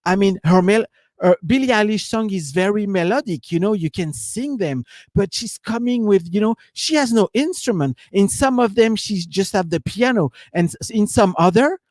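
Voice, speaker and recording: A man's voice: high-pitched, animated, normal speed, darkish tone, no echo. A man with a French accent, giving a loose, rambling live-stream talk as a business coach, leaning on "you know" and "right?". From France.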